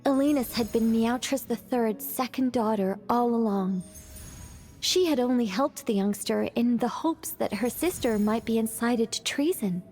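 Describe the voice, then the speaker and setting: A young woman storyteller in a video game cutscene, acted by a woman. Her voice is on the high side, composed and clearly articulated, with a theatrical, gently teasing fairy-tale delivery. Music plays underneath. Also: lightly acted.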